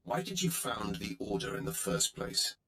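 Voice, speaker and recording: An actor playing an arrogant, sardonic Sith warrior in a video game, with a haughty, clipped delivery. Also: male voice, lowish voice, wildly swooping pitch, normal speed, bright, forceful tone, roomy sound, heavily acted. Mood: sardonic, suspicious. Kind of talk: dramatic video game voice acting.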